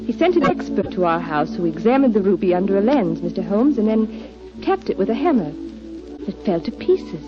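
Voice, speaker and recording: A woman's voice: mid-range voice, very animated, brisk, close to an everyday voice. An actress playing a genteel, anxious young lady client, polite and earnest, in 1940s radio drama style. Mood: earnest, worried. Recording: dull 1940s radio, music behind.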